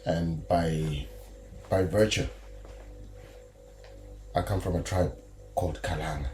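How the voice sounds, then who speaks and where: A man's voice: sits low, steady tone, slow pace, a little mellow, faint music behind. A man from Zimbabwe with a Zimbabwean accent, a musician guest answering easygoing, often restarting his sentences. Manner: easygoing, self-correcting, proud. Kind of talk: casual interview answers.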